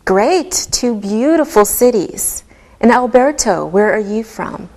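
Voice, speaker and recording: A woman teaching a beginner English lesson, speaking deliberately and enunciating every word clearly. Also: a little high, animated, darkish tone. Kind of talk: slow, scripted ESL lesson delivery.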